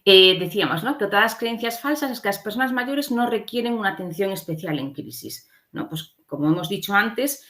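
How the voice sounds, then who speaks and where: A woman's voice: medium pitch, lively intonation, fairly quick, few pauses, a bit bright. A woman from giving an explanatory slide lecture as a conference speaker, often adding short checking tags.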